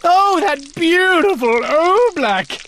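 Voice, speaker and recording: A woman voicing an excitable, boastful old king with a shrill, booming voice. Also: slow, slight background noise, full character voice. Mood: gleeful, bossy.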